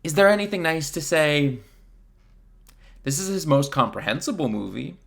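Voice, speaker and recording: Creaky-voiced, exasperated man reviewing films. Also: on the high side, lively intonation, moderate pace, faint music behind. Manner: blunt, exasperated, sardonic. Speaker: video reviewer. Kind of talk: scripted film review commentary.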